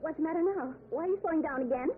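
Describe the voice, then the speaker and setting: High-voiced actress as an anxious woman, tinny radio. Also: racing, close to an everyday voice. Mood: anxious, suspicious. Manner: uneasy, probing. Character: anxious, suspicious female passenger, wary and questioning. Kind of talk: old-time radio thriller acting. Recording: tinny, noisy old radio broadcast recording.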